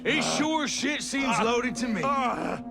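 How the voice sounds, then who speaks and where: Sneering game-villain officer, gravelly, wildly swinging pitch, music behind. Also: male voice, animated, takes it slowly, heavily acted. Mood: taunting. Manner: taunting, mocking, shouting insults. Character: menacing male military commander, contemptuous.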